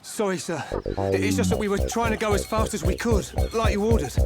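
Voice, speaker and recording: Meek soldier with wildly swinging pitch. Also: male voice, huge pitch swings, a little fast, over loud music. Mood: nervous, apologetic. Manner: deferential, making excuses. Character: meek, apologetic soldier reporting to his superior. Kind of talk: video game cutscene acting.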